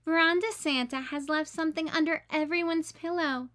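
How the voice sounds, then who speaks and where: A woman's voice: sits high, fairly even intonation, moderate pace, a bit bright. A woman reading a children's picture book aloud like a teacher, expressively acting out the dialogue.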